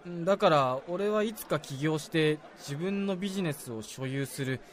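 A man acts out a young male junior office worker in a radio drama. His voice sits a little high, and he speaks with earnest deference. He sounds apologetic and unsure of himself as he confides. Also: moderate pace, a faint crowd, lightly acted. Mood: earnest recounting. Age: young adult. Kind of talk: Japanese radio drama dialogue.

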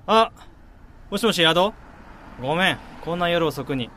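A man's voice: fairly high pitch, lively intonation, a little slow, sharp tone. A man acting in a radio drama as a wistful grown man, speaking in a reflective, subdued way. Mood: troubled. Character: wistful grown man recalling his childhood dream letter. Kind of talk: radio drama monologue and narration. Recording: noisy vehicle ambience behind. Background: vehicle and outdoor ambience.

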